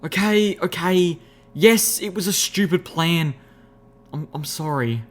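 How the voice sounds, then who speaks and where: A man voicing an anxious, apologetic little female pony, halting and pleading, in an audiobook story. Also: a little high, pitched above the performer's own voice. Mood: desperate, apologetic.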